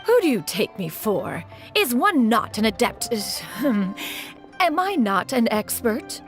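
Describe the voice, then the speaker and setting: A woman's voice: on the high side, sing-song, measured pace, faint music behind. A woman voicing a proud, aloof immortal sage in a video game, with formal, haughty speech. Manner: formal, haughty, refers to self as one.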